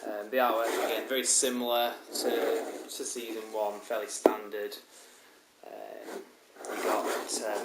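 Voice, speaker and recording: Man with a cold, rambling review. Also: on the low side. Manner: rambling, many ums and restarts. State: has a head cold. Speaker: DVD reviewer. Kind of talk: casual show-and-tell review. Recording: roomy echo, loud background hiss.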